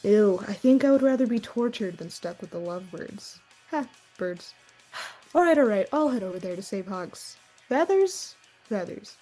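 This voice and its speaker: Woman acting young spellcasting housemate, cocky, theatrical, teasing, raspy yet soft-toned, fantasy comedy audio drama, faint music. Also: medium pitch, expressive, normal speed, close to an everyday voice. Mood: mock-dramatic whining.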